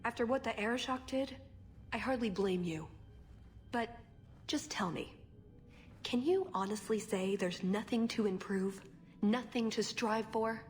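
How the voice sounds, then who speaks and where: A woman playing an earnest, idealistic elven spy in a video game, speaking with measured sincerity. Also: a little high, close to an everyday voice. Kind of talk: video game cutscene acting.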